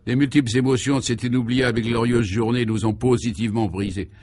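A man's voice: medium pitch, fairly even intonation, fast talker, darkish tone, close to an everyday voice. Man playing polite, earnest farce hero. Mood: weary, polite. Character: two polite, bashful male friends, inquisitive heroes.

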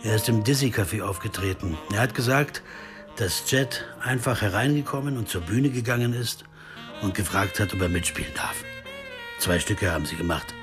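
A man's voice: lowish voice, lively intonation, normal speed, lightly acted. A man acting in a radio crime drama as a restless amateur sleuth, narrating his own story in a reflective, intimate way. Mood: reflective, confiding. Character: troubled male American amateur sleuth, introspective, driven, restless. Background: music.

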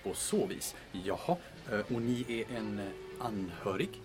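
Man acting a halting, formal hotel receptionist. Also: fairly low pitch, animated, faint music behind, natural voice. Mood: formal, reserved. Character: awkward, evasive male hotel receptionist, formal and uneasy. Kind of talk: audio drama acting.

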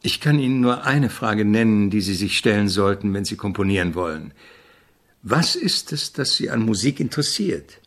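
A reflective composer and teacher in a radio play. His voice is low and husky, his measured and didactic. He is courteous yet exacting, setting out his thoughts with care. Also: expressive. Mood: exacting, instructive. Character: famous male avant-garde composer, reflective, exacting, polite teacher. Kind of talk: German radio drama acting.

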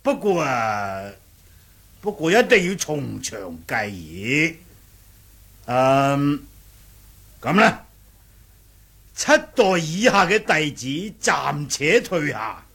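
Wuxia sect leader, pompous yet dithering, drawn-out husky delivery, lively pitch, radio play. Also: male voice, exaggerated voice. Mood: hesitant, evasive. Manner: hemming, drawn-out, indecisive yet lordly. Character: hesitant, pompous male chief of martial sect. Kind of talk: Cantonese martial-arts radio drama acting.

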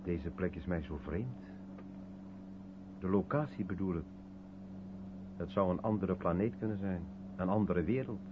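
Deep voiced thoughtful sceptic, wry and deliberate, old radio play, tinny with hum. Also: male voice. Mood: musing, uneasy. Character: reflective, sceptical South African man of colour, probing. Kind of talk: 1970s Dutch radio play acting. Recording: thin, noisy old radio recording with hum.

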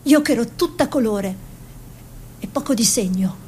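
A woman acting a sharp-tongued artist married to a painter. She is contrary and blunt, in a theatrical style. The voice sits fairly high, with faint noise and low rumble behind it. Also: expressive, normal speed, close to an everyday voice. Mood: wistful. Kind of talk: theatrical two-hander, dialogue and narration.

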